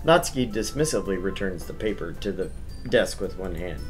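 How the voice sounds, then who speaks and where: Visual novel protagonist, read by a man: hesitant schoolboy narrator, deep voice, varied intonation, faint music behind. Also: animated, a little fast. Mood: narrating. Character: awkward, reluctant schoolboy narrator caught between girls.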